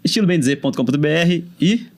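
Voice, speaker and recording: A man's voice: fairly high pitch, lively intonation, fast, darkish tone. A man hosting a podcast, chatting and laughing easily. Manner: friendly, laughs often, reads ad. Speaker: podcast host. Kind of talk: casual podcast chat.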